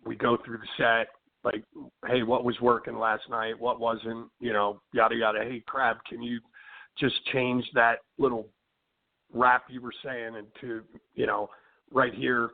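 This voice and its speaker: A rock singer with a Philly accent talks loosely, piling up fillers and restarts. His voice sits at a middling pitch. The sound comes through a thin, tinny phone line. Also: little pitch movement, a little slow. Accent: Philadelphia. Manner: rambling, many fillers and restarts, says "you know what I mean". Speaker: rock band singer, interview guest. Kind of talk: casual interview chat.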